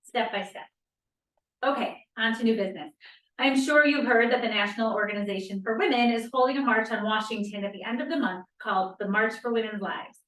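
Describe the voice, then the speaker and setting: A woman's voice: fairly high pitch, a little fast, fairly bright tone, lightly acted. An actress playing a practical, proud woman who chairs an activist meeting, in a table read of a play. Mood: upbeat. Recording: roomy, distant room microphone.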